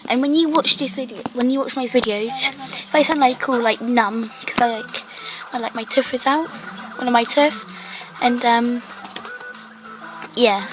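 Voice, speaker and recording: Female game host, croaky from a cough, rambling delivery, fairly high pitch, music underneath. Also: normal speed, rough recording. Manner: rambling, lots of "like" fillers. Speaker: gaming channel host. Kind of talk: casual gameplay commentary.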